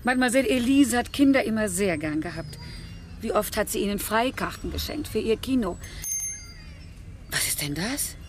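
An actress playing a kindly, fussing carer in a radio drama, talking in an effusive, chatty way. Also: female voice, a little high, lively intonation, moderate pace, a little hiss or hum, close to an everyday voice.

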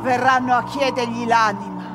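Woman playing grave female spirit, high voice, measured theatrical declamation, music behind, radio drama. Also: fairly even intonation, lightly acted. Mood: grave, foreboding. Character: solemn female narrator-spirit pleading for a sinner's soul.

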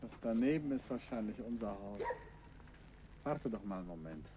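An actor playing a calm, dry-witted detective in a 1950s radio drama. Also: male voice, lowish voice, moderate pace, noisy background, close to an everyday voice. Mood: cautious. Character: calm, wry detective, middle-aged man.

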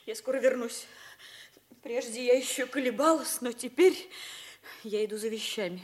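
A woman's voice: fairly high pitch, moderate pace, lightly acted. An actress playing a proud, wounded young woman of principle in a theatrical 1950s Soviet radio drama. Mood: resolute, sad.

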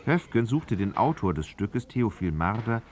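A male narrator with a low voice tells a literary story for radio. His delivery is measured and articulate, with a faintly ironic storyteller's touch. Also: big pitch swings, a little mellow, slight background noise.

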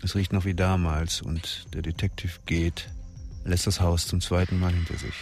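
A man narrating a radio crime drama in a measured, detached way. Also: deep voice, little pitch movement, a little mellow, faint music behind.

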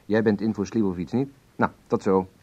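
An actor in a radio drama playing a sympathetic, slightly hesitant male friend in natural conversation, husky-voiced. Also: lowish voice, steady tone, a little fast, muffled recording, heavy background noise, lightly acted. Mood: concerned, brisk. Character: uneasy but caring male friend taking a phone call.

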